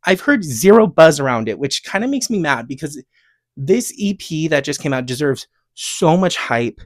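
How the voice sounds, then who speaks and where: A man's voice: fairly high pitch, very animated, moderate pace, dry recording. An American man hosting a podcast, talking casually and emphatically in a gushing, rambling way. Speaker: podcast host. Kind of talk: casual chatty solo podcast talk, music recommendations and rants.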